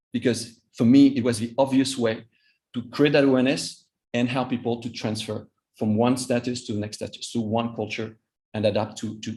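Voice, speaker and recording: A man in his fifties with a French accent, whose first language is Vietnamese, giving a master class as a cross-cultural coach, halting and often repeating his words. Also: mid-range voice, darkish tone. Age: 50s. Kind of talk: live online master class talk.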